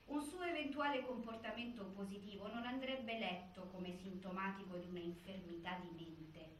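An actress as a grave narrator, giving formal, factual scripted narration in a documentary-style drama. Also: female voice, medium pitch, fairly even intonation, moderate pace, natural voice. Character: grave female narrator of a prison case. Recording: echoey stage, far mic, faint noise.

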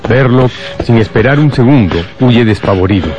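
A man's voice: medium pitch, moderate pace, lo-fi sound, over music. A man narrating a radio drama in a scripted storytelling style.

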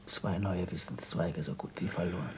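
A man acting in a German radio play as an earnest, reproachful former pupil of a scientist, gravelly, formal and terse, on a 1960s radio recording. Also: low voice, moderate pace, a little hiss or hum. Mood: bitter, reproachful.

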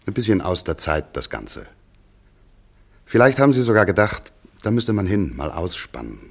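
An actor playing a middle-aged village vet and father in a German radio play, measured and matter-of-fact, patient with children. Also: low-pitched.

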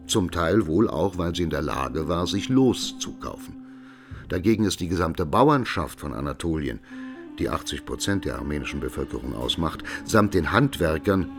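A learned man testifying as an expert. His voice is deep, and he lectures soberly in formal, deliberate phrases. This is a radio drama, with music clearly audible behind him. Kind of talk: radio drama courtroom statement.

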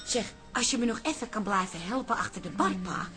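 An actress playing a peacemaking bar landlady, brisk and soothing, in a Dutch radio comedy. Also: medium pitch, lively intonation, over music, natural voice. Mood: friendly. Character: peacemaking wife and daughter running a bar.

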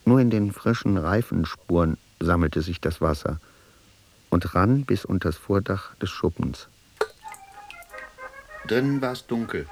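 An actor playing a reflective man who narrates an uneasy reunion in a literary radio play, measured and sombre. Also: low voice, a little mellow, heavily acted.

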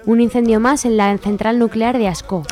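Mellow-voiced female radio co-host. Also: fairly high pitch, steady tone, normal speed. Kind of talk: community radio program presenting. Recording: faint music bed behind.

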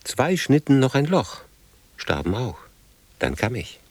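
An actor playing a haunted, defiant, drunken war survivor in a radio drama, husky and breathy, swinging between murmurs and outbursts. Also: male voice, mid-range voice, big pitch swings, a little slow, darkish tone, dry recording. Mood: fierce. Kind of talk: poetic radio drama acting.

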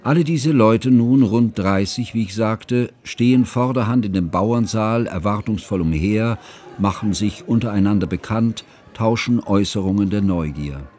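Low, mellow earnest scholarly narrator, an actor's role. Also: male voice, normal speed, natural voice. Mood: earnest, measured. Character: earnest, fussy, devoted scholarly friend recounting events. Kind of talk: literary radio drama narration.

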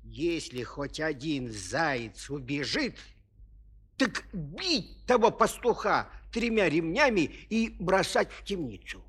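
Old peasant dad, husky, slow, folksy, fretful, storytelling, children's audio play. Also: male voice, on the high side, expressive, a bit bright, full character voice. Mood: folksy, rueful. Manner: folksy, lamenting, exclaiming and repeating himself. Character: elderly fretful peasant father of three sons. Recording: 1970s studio audio play.

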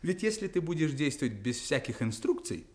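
Актёр играет хитрого, напористого, насмешливого мужчину в радиоспектакле 1960-х. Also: male voice, a little high, sing-song, a little fast. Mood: persuasive. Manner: scoffing, insistent. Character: sly, brisk, mocking persuader of a man. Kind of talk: 1960s radio drama acting.

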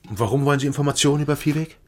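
An actor playing a weary, guarded, hard-drinking private detective in a radio crime drama, terse and subdued. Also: male voice, fairly high pitch, very fast, lightly acted.

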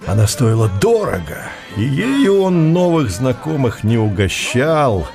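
A man narrating a literary radio drama with measured, expressive storytelling phrasing. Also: medium pitch, gravelly, faint music behind.

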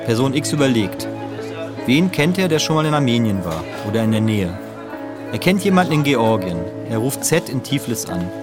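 A man's voice: medium pitch, very animated, normal speed. A man reading scripted explanatory narration. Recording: music behind the voice.

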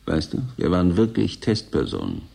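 An actor playing a resigned, doubting station worker in a radio drama, subdued and questioning. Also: male voice, deep voice, huge pitch swings, moderate pace, vocal fry, mellow, breathy edge, full character voice. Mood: resigned, searching.

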